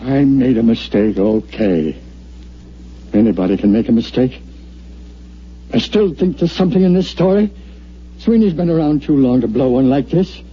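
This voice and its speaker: A man's voice: medium pitch, moderate pace, long pauses, husky, close to an everyday voice. An actor playing a sarcastic, wisecracking, beaten-down veteran city editor in his fifties, in a 1950s radio drama style. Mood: defiant. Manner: sardonic, theatrical, wisecracking. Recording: muffled old radio recording with hiss.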